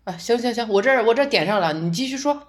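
A woman playing a conservative male theater director in an audio drama, flustered, interrupting and quick to shoot ideas down. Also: a little high, expressive, lightly acted. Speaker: director character.

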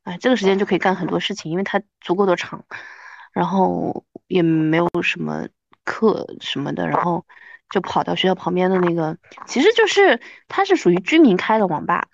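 A woman in her thirties hosting a podcast, chatting casually and reminiscing in an animated, self-deprecating way. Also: lowish voice, fairly quick, creaky, no echo, lo-fi sound. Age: 30s. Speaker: podcast host.

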